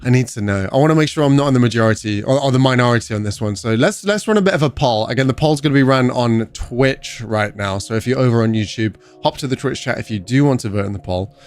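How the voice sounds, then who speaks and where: A man's voice: medium pitch, fast, few pauses, clean tone, faint music behind. A young man from England with an English accent, hosting a gaming livestream and chatting casually with viewers as he reads their messages. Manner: chatty, reads chat aloud and answers viewers. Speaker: livestream host.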